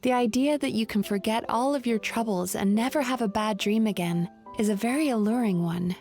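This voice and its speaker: Woman playing a gentle, high-voiced composed young healer. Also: soft background music, natural voice. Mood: calm, reflective. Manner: calm, measured, thoughtful. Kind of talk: anime video game voice acting.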